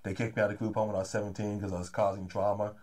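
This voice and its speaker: A man in his thirties from the Los Angeles area, a promoter chatting eagerly as an interview guest, often tacking "man" onto his sentences. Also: fairly low pitch. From Los Angeles area, California. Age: 30s. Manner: fast, energetic, repeats "man" often. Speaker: comedy and hip-hop promoter, guest. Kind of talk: casual interview chat.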